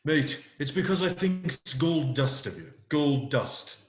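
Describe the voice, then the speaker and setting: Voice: medium pitch, lively intonation, measured pace, highs cut off, lightly acted. An actor playing a menacing, coaxing pirate ringleader in a radio play, recorded with a roomy echo. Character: menacing one-legged pirate man, mutineer ringleader. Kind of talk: children's theater radio play acting.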